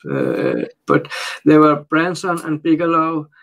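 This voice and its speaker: Danish-accented man, higher voice, halting speech. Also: huge pitch swings, creaky, a bit bright. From Denmark. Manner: halting, searches for words while translating. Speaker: interview guest. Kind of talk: casual unscripted interview talk.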